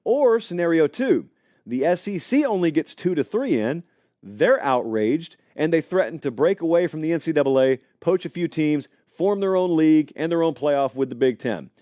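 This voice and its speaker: A man's voice: a little high, moderate pace, no room sound, clean studio sound. A man hosting a live college football talk show, chatting casually and speculating aloud while answering viewers in the live chat. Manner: animated, conversational, speculative, addresses live chat.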